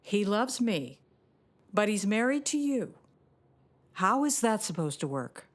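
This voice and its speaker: A woman's voice: medium pitch, very animated, unhurried. An actress playing a plucky, guarded young woman pilot in an audio drama. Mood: hurt.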